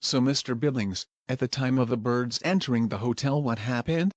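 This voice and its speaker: A measured male news anchor played by a man in an audio drama reads a scripted bulletin formally. His pitch is average and the sound is close and dry. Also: dry recording, dull audio, close to an everyday voice. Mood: composed, serious.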